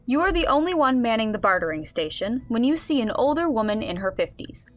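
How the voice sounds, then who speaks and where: A woman playing an expressive game master, narrating a fantasy story in a scripted radio drama. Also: a little high, fairly quick. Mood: storytelling.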